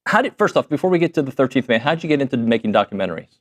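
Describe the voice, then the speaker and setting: A man's voice: mid-range voice, sing-song, very fast. A man in his forties hosting a talk show from a studio, joking with his guest and asking conversational questions. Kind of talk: casual sports talk show interview.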